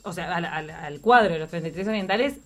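A Uruguayan woman hosts a casual interview in a fairly low voice. She talks quickly and informally, chatting with her guest in a conversational style. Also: a bit bright. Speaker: interviewer, programme host.

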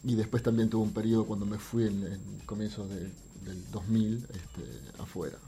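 A middle-aged man with a Uruguayan accent giving explanatory interview answers as a guest, halting and thoughtful, repeating words as he searches for the next one. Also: lowish voice, dark tone. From Uruguay, raised abroad in France, Venezuela and Argentina. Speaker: interview guest, visual artist. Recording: faint background noise, drifting off mic.

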